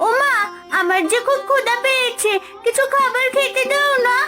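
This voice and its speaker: A sweet, earnest little girl in a cartoon folktale. The voice is extremely high and childlike. Loud music plays underneath. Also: steady tone, normal speed. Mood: earnest, concerned.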